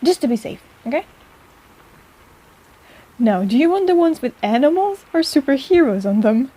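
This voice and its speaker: Casting: actress as chatty, fond, teasing female apothecary for intimate audio roleplay; soft-edged warm tone, slightly high pitch. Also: very animated, low-quality recording. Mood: chatty, upbeat. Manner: chatty and rambling, halting, gently teasing. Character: warm, teasing, flustered young woman apothecary shopkeeper, caring and rambling. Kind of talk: intimate personal-attention audio roleplay, speaking to the listener.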